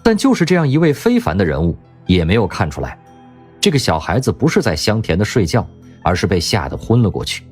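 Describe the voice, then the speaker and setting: A man narrating an audio drama in an expressive storytelling manner. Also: fairly low pitch, fairly quick, husky, soft background music.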